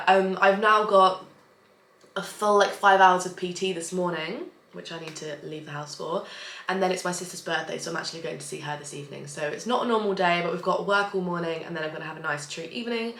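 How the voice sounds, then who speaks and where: A woman's voice: lowish voice, brisk, a bit bright, slight background noise. A young woman vlogging casually about her day, rambling and bubbly, her voice nasal from allergies. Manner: bubbly, rambling, self-deprecating. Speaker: vlogger and personal trainer. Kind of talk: chatty daily vlog. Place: at home in her flat. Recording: roomy, distant home audio with faint ambience.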